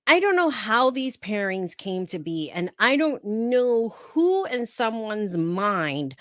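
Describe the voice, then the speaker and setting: A woman's voice: mid-range voice, very animated, takes it slowly, a bit bright, no room sound, studio quality. A woman with a heavy New York accent hosting her own reality TV commentary channel, sarcastic and playful, laughing often as she talks. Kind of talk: casual chatty commentary.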